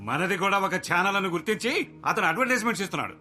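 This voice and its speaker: A bright-voiced bullying boss. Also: male voice, high-pitched, lively intonation, racing, faint music behind, heavily acted. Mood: berating. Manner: berating, mocking. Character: bullying TV channel boss, scornful and threatening. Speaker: TV channel boss.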